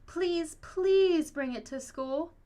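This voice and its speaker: A woman voicing an eager, excitable young schoolchild in a picture book, speaking emphatically. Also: very high voice, slow pace, pitched above the performer's own voice. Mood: eager pleading.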